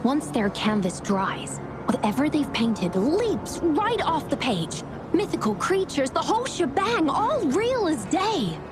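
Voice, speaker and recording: A woman's voice: sits high, sing-song, a little slow. An actress playing an upbeat, confident young woman who briefs someone on a mystery in a breezy, colloquial way in a video game. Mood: intrigued, storytelling. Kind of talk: video game story dialogue. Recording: music behind the voice.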